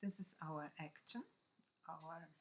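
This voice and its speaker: A woman from Brazil with a Brazilian Portuguese accent, whose first language is Portuguese, walking viewers through a software tutorial in halting English and often checking in with "okay?". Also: low voice, fairly even intonation, a little hiss or hum. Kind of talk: screen-recorded software tutorial.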